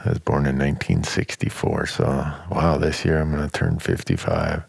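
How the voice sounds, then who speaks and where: Very deep-voiced chatty man in his fifties. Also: big pitch swings, normal speed, vocal fry, husky, dry recording. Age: 50s. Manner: chatty, storytelling, chuckles often. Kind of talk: casual chat.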